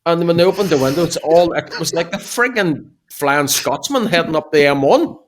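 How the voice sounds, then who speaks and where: Blunt, animated man. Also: a little high, normal speed, a little hiss or hum. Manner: storytelling, blunt and jokey. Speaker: podcast co-host. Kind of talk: casual banter.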